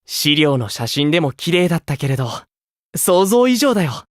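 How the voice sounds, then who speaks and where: A man voicing a gentle, kindly older-brotherly idol with a romantic streak in an anime game, speaking softly. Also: on the high side, big pitch swings, dry recording, studio quality. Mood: admiring, wistful. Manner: soft, kindly, unhurried.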